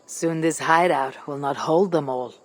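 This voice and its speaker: Man as a fairly high-pitched, earnest Viking warrior. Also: unhurried, natural voice. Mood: calm. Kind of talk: dramatic video game acting.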